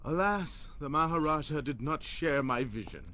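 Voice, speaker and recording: An actor playing a scheming, power-hungry older vizier in a video game, speaking in a theatrical, menacing and deliberate way. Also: male voice, a little high, animated, sharp tone, a little hiss or hum. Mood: sinister, ambitious. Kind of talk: dramatic villain monologue.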